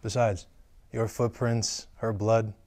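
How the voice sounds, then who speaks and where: A man's voice: fairly low pitch, little pitch movement, slow pace, darkish tone. A man reading a taunting killer on the phone. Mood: menacing. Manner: taunting, sneering, drops to a whisper. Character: menacing male killer on the phone, taunting and cruel. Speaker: table read actor. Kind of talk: script table reading.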